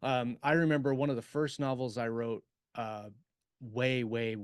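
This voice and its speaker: A man co-hosting a podcast, chatting casually and haltingly as he thinks aloud about writing. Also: medium pitch, flat delivery, clean tone, no echo, studio quality. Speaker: podcast co-host, science fiction author.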